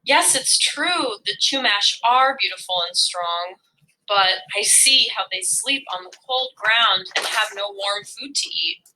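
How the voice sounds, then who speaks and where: A woman voicing a great, wise and kindly male sky serpent god, pronouncing slowly and benevolently. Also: medium pitch, expressive, bright, forceful tone, exaggerated voice, rough recording. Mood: kindly, solemn. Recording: music behind the voice.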